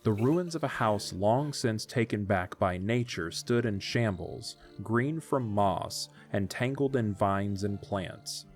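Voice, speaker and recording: A man's voice: on the low side, lively intonation, slow pace, hardly any pauses, soft background music. A man narrating a scripted story as the narrator of an audio drama.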